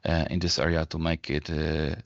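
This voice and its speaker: A man explaining things in a webinar as a company presenter, in a halting way, often repeating and rephrasing his words. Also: extremely low pitch, level tone, no echo, muffled recording.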